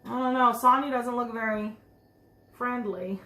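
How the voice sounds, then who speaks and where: Woman, preschool teacher, higher-pitched voice, expressive picture-book read-aloud, chatty asides, echoing room. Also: measured pace. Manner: expressive, simple explaining, asking children questions. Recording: roomy, echoing sound.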